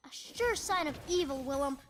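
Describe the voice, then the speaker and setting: A woman's voice: sits high, animated, moderate pace, a little hiss or hum. A woman voicing a brave, imaginative little boy hero in a video game, theatrical and childlike, with music behind. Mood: earnest wondering. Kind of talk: video game character voice acting.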